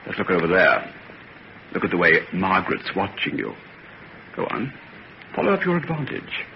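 An actor playing a jovial gentleman friend who teases and banters as a playful matchmaker in an old-time radio serial. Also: deep voice, huge pitch swings, normal speed, full character voice. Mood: teasing. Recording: muffled, noisy old radio recording.

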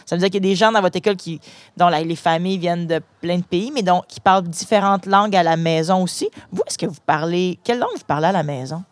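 Low-voiced Canadian French woman host. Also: quick pace, no echo. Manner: friendly, chatty, restarting phrases. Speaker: host interviewing schoolchildren. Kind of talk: casual interview. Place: inside a parked truck.

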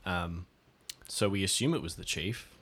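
Male player with a lowish voice, casual improvised delivery in a story game. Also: normal speed, fairly bright tone, slightly rough. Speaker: role-play player. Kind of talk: casual improvised role-play chat.

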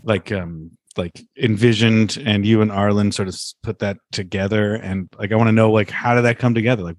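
A man co-hosting a chat podcast, talking excitedly with frequent laughs and fillers. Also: on the low side, no room sound, clean studio sound. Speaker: podcast co-host. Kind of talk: casual chat.

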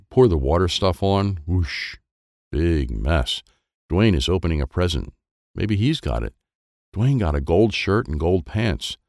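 A man voicing an excitable, wistful small boy who narrates a children's storybook in simple, wide-eyed phrases. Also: a bass voice, no echo, studio quality, full character voice. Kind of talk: first-person present-tense children's storybook narration.

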